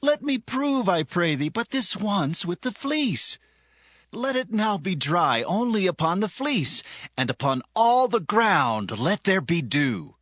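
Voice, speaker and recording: A man's voice: high-pitched, very animated, slow pace, fairly bright tone, no room sound, heavily acted. An actor playing a humble, fearful man who pleads earnestly and reverently in a scripture drama. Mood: humble pleading. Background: music.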